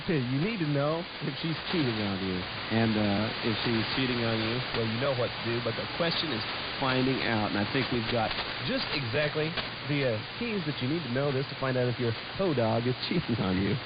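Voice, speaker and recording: A man's voice: medium pitch, expressive, normal speed. A man hosting casual comedic talk radio. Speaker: radio show co-host. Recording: hissy, muffled shortwave radio recording.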